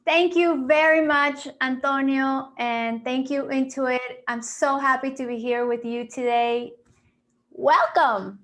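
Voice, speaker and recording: A woman's voice: sits high, steady tone, slow, a bit bright. Upbeat woman. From Peru. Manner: upbeat, encouraging, with fillers and restarts. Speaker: financial planner. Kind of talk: webinar presentation. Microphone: video call.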